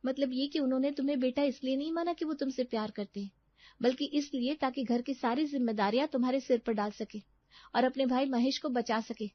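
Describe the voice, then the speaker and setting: A woman's voice: sits high, fairly even intonation, a little fast, dry recording, dull audio, voice pushed up. A woman playing a wary young student in a cartoon story, speaking in an emphatic, admonishing way. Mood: suspicious, urgent. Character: wary, firm young woman student scolding her naive friend.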